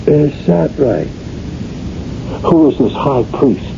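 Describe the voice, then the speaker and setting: Skeptical, exasperated police captain, swooping pitch. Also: male voice, animated, slow pace, creaky, full character voice. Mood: sarcastic, skeptical. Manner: sarcastic, challenging. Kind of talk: 1970s radio drama acting. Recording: muffled, noisy old radio recording.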